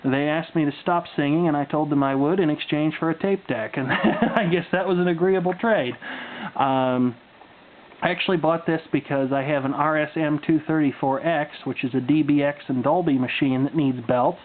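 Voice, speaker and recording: A man with a slightly high voice talks off the cuff as he presents things to camera. His delivery is wry and folksy, with dry asides. The sound is narrow and phone-like, with some faint noise behind him. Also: normal speed. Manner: wry, dry humor, folksy turns of phrase. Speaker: hobbyist video presenter. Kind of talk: unscripted casual show-and-tell commentary. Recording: muffled phone-like audio with background noise.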